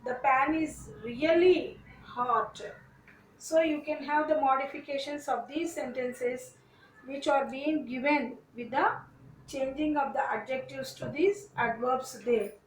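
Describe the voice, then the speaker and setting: Woman teacher, high voice, slow deliberate phrasing, faint background noise. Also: distant mic. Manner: slow, repeats each sentence phrase by phrase. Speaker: English teacher. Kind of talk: grammar lesson, reading example sentences aloud. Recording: echoey room, voice a bit off-mic, faint noise.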